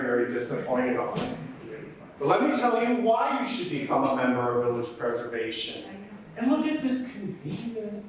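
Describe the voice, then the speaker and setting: A man's voice: fairly high pitch, slight background noise. A man who directs programs, giving a chatty, joking introduction at a library event. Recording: very echoey room, from far off.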